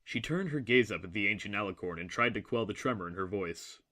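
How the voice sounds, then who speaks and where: A male narrator with a fairly low, crisp bright voice. He reads a scripted story in a dramatic storytelling style. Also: animated, fast.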